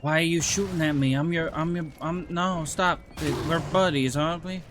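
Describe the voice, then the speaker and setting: A man's voice: fairly high pitch, steady tone, moderate pace, fairly bright tone, a little hiss or hum. A man streaming a video game with friends, chatting casually and rambling with lots of restarts and jokes. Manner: rambling, joking, with many restarts. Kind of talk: casual gaming chat.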